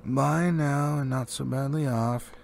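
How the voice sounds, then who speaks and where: A man's voice: medium pitch, steady tone, unhurried, vocal fry, darkish tone. A man voicing a grave, proud old male dwarf leader in a solemn, formal manner for a storybook. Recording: faint background noise.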